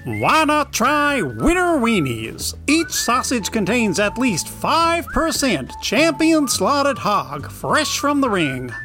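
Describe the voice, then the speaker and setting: A woman's voice: fairly high pitch, sing-song, slow pace, hardly any pauses, over music. A woman playing a slick commercial announcer in a parody radio advert, with an exaggerated sales delivery. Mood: salesy, upbeat.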